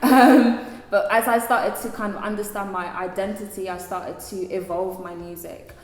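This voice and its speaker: A singer being interviewed, a woman with a deep speaking voice who talks thoughtfully with many fillers and restarts. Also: steady tone, normal speed, roomy sound, in a large hall. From London. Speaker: singer, guest. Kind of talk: casual interview talk.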